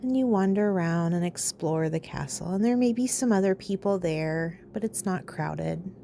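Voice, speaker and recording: A woman leading a guided meditation in a calm, soothing, unhurried way, pausing between phrases. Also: on the low side, vocal fry, slight background noise.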